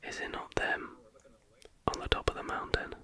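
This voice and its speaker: A British ASMR host whispering casual, chatty reaction commentary in a hushed, informal, rambling way. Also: normal speed.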